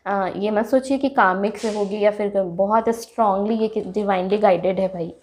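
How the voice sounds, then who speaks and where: A woman hosting a casual tarot reading with a sore throat, clearing her throat often and chatting excitedly to her viewers as friends. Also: medium pitch, a little slow. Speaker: tarot reader, channel host.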